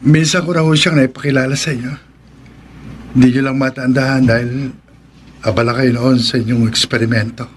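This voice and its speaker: A man's voice: medium pitch, fairly even intonation, fairly quick, a little hiss or hum, lightly acted. An actor playing a guilt-ridden young man. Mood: remorseful. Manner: remorseful, stammering. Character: guilty, nervous young man mourning a girlfriend. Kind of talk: radio drama acting.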